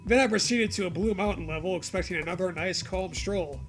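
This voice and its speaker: A man's voice: high voice, brisk, music in the background. A man reading a story aloud for comedy, breaking off to swear and riff casually.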